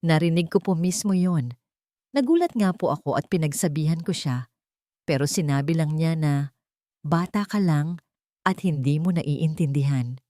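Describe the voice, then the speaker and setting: A woman narrator plays a frightened old Filipino woman in an audio story, burdened by conscience. The voice is a little low and recorded dry. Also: moderate pace, long pauses, warm tone, dry recording, lightly acted. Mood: anxious confiding. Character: frightened, conscience-stricken old retired female hospital worker.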